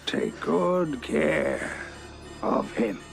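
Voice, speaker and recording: A menacing old undertaker spirit drawls very slowly in a gravelly, swooping voice. He taunts theatrically, like a horror film villain. The sound is a little dull, under loud music. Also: a little high, animated, exaggerated voice. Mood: ominous, taunting. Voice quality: gravelly, creaky.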